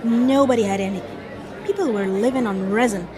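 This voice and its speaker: A woman's voice: on the high side, brisk, crowd noise, close to an everyday voice. A woman acting in an audio drama as a slangy, foul-mouthed undercover cop spinning a street story. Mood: matter-of-fact. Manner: slangy, profane, exasperated storyteller. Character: male undercover cop rehearsing a foul-mouthed pot dealer's anecdote.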